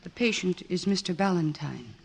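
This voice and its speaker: An actress playing a composed, determined young woman psychiatrist in an old-time radio drama, speaking in a measured, articulate, earnest way. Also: fairly low pitch, lively intonation, gravelly. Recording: restored vintage radio, orchestral music behind.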